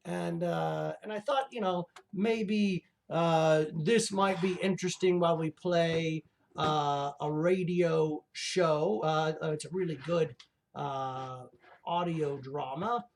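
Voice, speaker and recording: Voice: medium pitch, steady tone, takes it slowly, gravelly, a little hiss or hum. A performer voicing a cranky, self-important creature who poses as a professor and hosts a comedy show in a hesitant, stammering ramble. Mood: grumbling, distracted. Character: cranky fake-professor creature puppet host with claws, rambling, grandiose. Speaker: show host. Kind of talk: improvised in-character comedy monologue.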